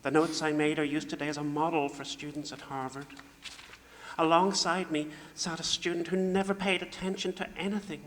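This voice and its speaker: Self-pitying, formally indignant 1940s radio comedy sound man, played by a man, slightly high voice, roomy stage recording. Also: level tone, a little fast, a bit bright, natural voice. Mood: bitter, self-pitying. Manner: formal, indignant, mournful oratory. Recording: echoey hall with faint noise.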